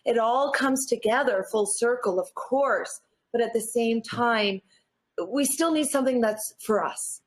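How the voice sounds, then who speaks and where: High-pitched, earnest Canadian woman. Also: measured pace. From born in Newfoundland, grew up in Nova Scotia, Canada. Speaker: interview guest, natural athlete. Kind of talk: motivational interview chat.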